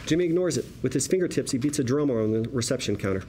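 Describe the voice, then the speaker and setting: A man's voice: mid-range voice, lively intonation, quick pace, noisy background. A man reading screenplay stage directions as narrator at a drama table read.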